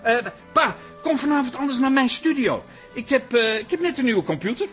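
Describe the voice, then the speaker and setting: A self-assured, cheerful son in a radio drama. His voice is high for a man and moves animatedly. Faint music sits behind his easy, confident talk. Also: expressive, a little fast, fairly bright tone. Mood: breezy, persuasive. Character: cheerful, self-assured son who runs a photo studio.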